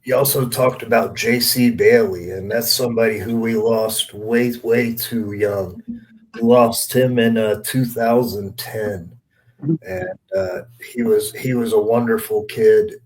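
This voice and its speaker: A man's voice: mid-range voice, a little slow, darkish tone, poor audio. A middle-aged man, a veteran wrestler, reminiscing casually and repeating himself for emphasis. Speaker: veteran wrestler, guest commentator.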